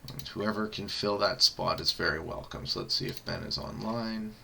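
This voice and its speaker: Low bright-voiced man hosting a podcast. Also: steady tone, vocal fry, slight background noise. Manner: halting, many filler sounds. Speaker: podcast host. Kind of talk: casual gaming podcast chat.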